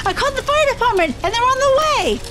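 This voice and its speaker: Woman playing a very high-voiced, concerned neighbour. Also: expressive, quick pace. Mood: concerned, apologetic. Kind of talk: audio drama acting. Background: faint background music.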